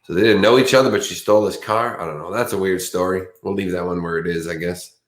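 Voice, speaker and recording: Deep-voiced Boston man, roomy echo. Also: fairly even intonation, fast talker. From Boston area. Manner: meandering, chatty, restarts often. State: sleepy. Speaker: co-host of a true crime livestream. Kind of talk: casual rambling chat.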